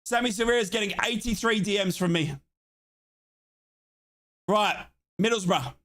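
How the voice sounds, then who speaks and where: A man hosting a live fan watchalong, calling a football match in excitable play-by-play and chanting. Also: sits high, moderate pace. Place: home office.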